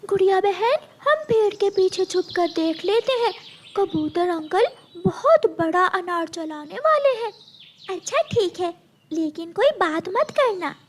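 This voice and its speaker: A little cartoon girl bird with an extremely high, childlike voice. She speaks slowly, in a polite and pleading way. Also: animated, slight background noise. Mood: cautious.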